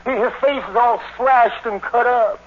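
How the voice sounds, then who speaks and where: A man's voice: very high-pitched, fairly even intonation, measured pace. An actor playing a nervous, tongue-tied little man who stammers and restarts his sentences, in a 1940s radio comedy. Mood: flustered. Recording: dull, noisy old radio recording.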